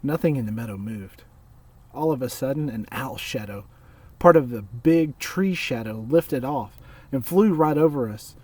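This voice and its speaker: A man reading a children's story aloud in a measured way, with pauses between phrases. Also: medium pitch, animated, darkish tone, husky, dry recording, recorded from a distance. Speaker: storybook reader.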